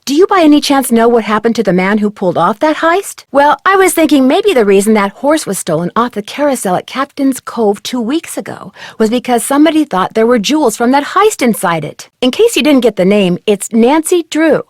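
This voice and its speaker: An actress playing a polite, curious young detective in an adventure game, with courteous, clearly enunciated questions. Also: female voice, a little high, very animated, brisk, hardly any pauses, no echo, studio quality. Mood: earnest, polite. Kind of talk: scripted adventure game dialogue.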